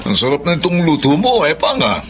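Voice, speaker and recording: Very animated Filipino husband. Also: male voice, fairly high pitch, fairly quick. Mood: jovial. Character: jovial, attentive husband and household employer. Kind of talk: Filipino dramatic acting. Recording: clear background noise.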